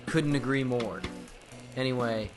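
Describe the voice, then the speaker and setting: An actor playing a wary, composed speakeasy owner in an old-time radio drama, clipped and polite. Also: male voice, medium pitch, steady tone, a little slow, over loud music, lightly acted.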